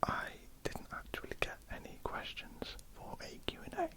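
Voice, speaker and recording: A woman with a croaky voice, whispering ear to ear for an ASMR question-and-answer video. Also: normal speed. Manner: soft unvoiced whisper with frequent ums. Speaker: ASMR artist. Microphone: ear-to-ear stereo mic.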